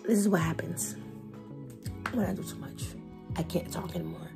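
A young woman chatting to her phone camera at her desk in a casual vlog. She is hoarse, her voice nearly gone, and talks in a chatty, expressive way. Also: lowish voice, normal speed. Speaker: vlogger. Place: bedroom at a desk, indoors. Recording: music playing under the voice. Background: lofi music bed.

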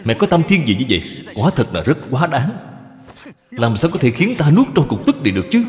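Guy playing a bitter young husband, indignant cartoon folk tale complaining, pitched up, echoey hall sound. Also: sing-song, a little fast, darkish tone, natural voice. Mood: irritated. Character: resentful, hard-working young husband, bitter stepson. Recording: long reverb, music behind.

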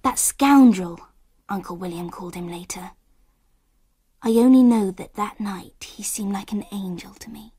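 An actress playing a sensitive girl on the edge of womanhood in a radio drama, with hushed, intimate, wistful delivery. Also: medium pitch, a little slow, husky. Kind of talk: radio drama, first-person narration.

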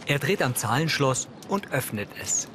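A man's voice: mid-range voice, big pitch swings, normal speed, noisy background. A man reading scripted audio description for a TV series in a neutral, matter-of-fact manner. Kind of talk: scripted scene narration, present tense.

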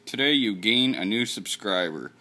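A man's voice: lowish voice, lively intonation, moderate pace, bright, forceful tone. A man hosting his channel giveaway, talking in a rambling, offhand way and swearing casually while he films his computer screen. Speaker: channel host running a giveaway. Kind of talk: casual screen-recorded vlog.

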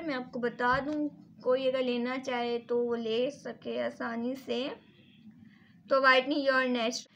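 High-pitched woman giving a casual demo. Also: sharp tone, slight background noise. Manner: repetitive, instructive, mixes in English words. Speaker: beauty vlogger and salon owner. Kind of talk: casual hands-on product review and demonstration.

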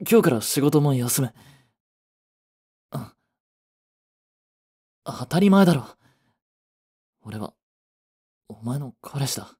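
A man playing a blunt, devoted young boyfriend in a romantic voice drama, with a breathy voice and heavy emotion. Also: mid-range voice, lively intonation, slow, long pauses, mellow. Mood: reassuring. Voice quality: breathy, slightly husky. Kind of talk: romantic Japanese voice drama acting.

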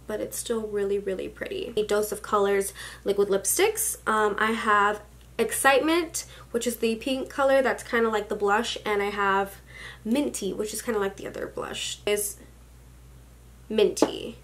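A young woman in her twenties chatting about makeup, gushing and chatty, full of likes and excited exclamations, filmed in a small room at her vanity. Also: medium pitch, moderate pace. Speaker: beauty vlogger. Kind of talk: casual makeup haul chat. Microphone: camera at desk distance.

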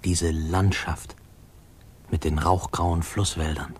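An actor playing a wistful man narrating his boyhood memories in a measured, literary 1950s radio drama style. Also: deep voice, little pitch movement, slight background noise. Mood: wistful, lyrical. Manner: reflective, measured storytelling.

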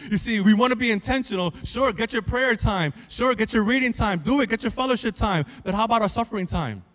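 High-pitched male preacher in a reverberant hall. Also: fairly bright tone. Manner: conversational, emphatic, with rhetorical questions. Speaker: pastor. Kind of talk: sermon. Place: large church room with stage, indoors. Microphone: handheld microphone. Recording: echoey hall with long reverb.

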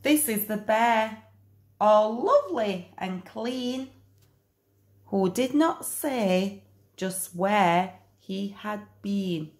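A woman reading a children's picture book aloud in an expressive, deliberate storytelling manner. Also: mid-range voice, reverberant. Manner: slow, animated, deliberate storytelling.

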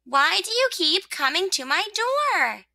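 Woman voicing a high, indignant little storybook rosebud. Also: sing-song, measured pace, vocal fry, bright, forceful tone, no echo, clean studio sound, full character voice. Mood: annoyed questioning. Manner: indignant, emphatic. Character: prim, fussy little pink rosebud, female, easily upset. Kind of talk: children's storybook character voice.

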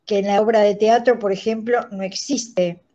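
An older woman with an Argentine accent, explaining things as an interview guest in a measured, didactic way. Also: mid-range voice, steady tone, mellow. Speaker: guest, radio theatre director and writing teacher. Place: indoors, plain room on a video call. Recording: dull lo-fi video call audio.

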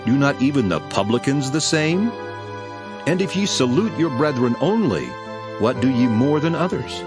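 An actor playing a solemn, authoritative male preacher in a dramatized King James Bible reading, declaiming in a measured, emphatic way. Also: medium pitch, lively intonation, over music, lightly acted.

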